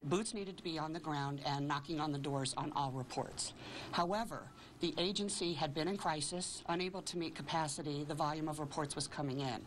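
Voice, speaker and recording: A woman's voice: low-pitched, brisk, slight background noise, rough recording. A woman making a statement in a news soundbite.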